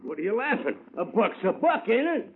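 An actor playing a fast-talking, excitable male talent agent in a 1950s radio drama, using streetwise slang. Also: high-pitched, loud music behind the voice. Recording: tinny old radio broadcast.

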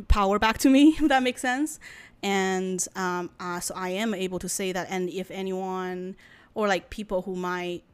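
A woman in her late twenties from China, a native Chinese speaker, answering interview questions thoughtfully with many pauses and fillers. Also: medium pitch, clean tone. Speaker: guest. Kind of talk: casual interview.

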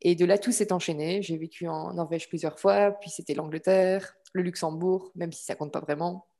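A woman's voice: fairly low pitch, steady tone, moderate pace, mellow. A woman from Belgium hosting an interview podcast, chatting casually and restarting her questions as she goes. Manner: friendly, restarts and repeats words when asking. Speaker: podcast host.

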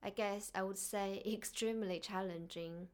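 A woman from China with a Chinese accent, speaking earnestly and softly as the host of a reflective solo podcast. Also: medium pitch, fairly even intonation, moderate pace.

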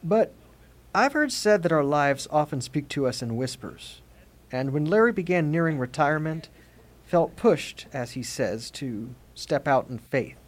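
A man hosting a podcast, reading scripted documentary narration in a measured, expressive way. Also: a little high.

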